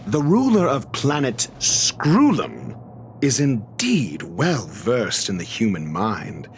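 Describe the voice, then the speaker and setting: An actor playing an arrogant, fastidious scholar-doctor in a video game, speaking in a pompous, measured, formal way. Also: male voice, medium pitch, wildly swooping pitch, slight background noise, exaggerated voice. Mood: lofty lecturing. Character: arrogant, erudite male scholar-doctor, fastidious and disdainful of fools. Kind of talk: scripted video game cutscene dialogue.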